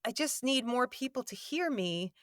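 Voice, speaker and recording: Chatty woman with a slightly gravelly voice. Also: a little high, expressive, normal speed, a bit bright, no echo, clean studio sound. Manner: rambling, self-deprecating, many restarts. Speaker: voice actor guest. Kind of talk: casual interview chat. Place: home recording booth.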